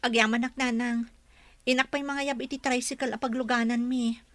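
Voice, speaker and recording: A woman's voice: a little high, level tone, fairly quick, a bit bright, no room sound, natural voice. An actress playing a dutiful young wife and mother in a radio drama. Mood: polite, hurried.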